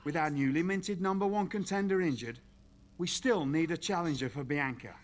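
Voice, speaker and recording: An actor playing a pompous, stern general manager of a wrestling show in a video game, formal and dry. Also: male voice, a little high, moderate pace, natural voice. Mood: grudging, haughty. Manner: formal, dry, haughty wording. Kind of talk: video game cutscene dialogue.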